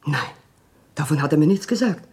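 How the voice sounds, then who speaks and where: A woman playing a distraught, evasive wife under police questioning in a radio play, speaking haltingly and nervously. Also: deep voice, animated, mellow, slightly rough, heavily acted. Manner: hesitant, halting, agitated. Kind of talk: German radio play acting, dramatic dialogue.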